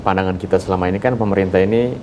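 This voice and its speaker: A man's voice: low voice, steady tone, racing, warm tone, muffled recording, a little hiss or hum. A man with an Indonesian accent, speaking as an activist guest and explaining his view in an interview.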